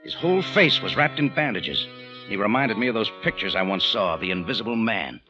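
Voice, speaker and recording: A man plays a hard-boiled American radio detective with a low voice and lively swings of intonation. He is wry, clipped and unflappable. It is a dull vintage recording with music. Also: fairly quick, close to an everyday voice. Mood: tense narration. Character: tough, wry, unflappable American private-eye hero in Cairo. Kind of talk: old-time radio detective narration and dialogue.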